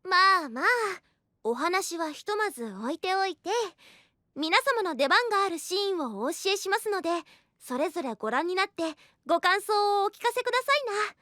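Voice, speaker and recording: Scheming young princess, super high dainty voice, close dry sound, formal anime drama style. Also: female voice, big pitch swings, bright, forceful tone, dry recording, exaggerated voice. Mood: breezy, unapologetic. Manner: very formal, courtly, playfully brisk. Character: polite, scheming young princess writing a play. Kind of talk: Japanese anime audio drama acting. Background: faint background music.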